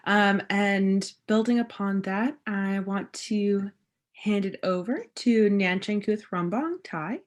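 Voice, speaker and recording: A woman's voice: mid-range voice, slow pace. A woman moderating an online panel, speaking hesitantly with fillers as she introduces the next speaker.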